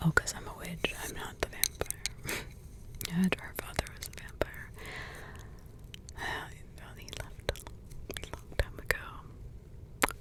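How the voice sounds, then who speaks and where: Lady doing an ancient witch mom, all whispers, slow and teasing, asmr roleplay. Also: mid-range voice, close to an everyday voice. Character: centuries-old female witch, a vampire's teasing mother.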